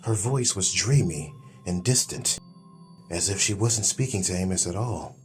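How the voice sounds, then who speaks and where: A man narrates a fantasy story in a low voice. He reads as a scripted audiobook storyteller, with soft music running behind him. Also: normal speed.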